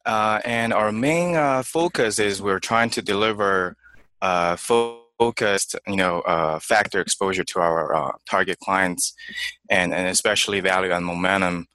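A young man from China, guest in an interview, answering in a halting way with many fillers and restarts. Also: sits low, pushed and bright. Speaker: investment manager guest.

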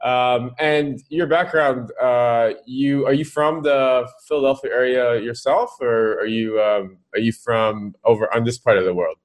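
A man's voice: medium pitch, fairly even intonation, a little slow, few pauses. A man hosting a podcast interview, asking long rambling questions with fillers. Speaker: podcast host. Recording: faint music behind the voice.

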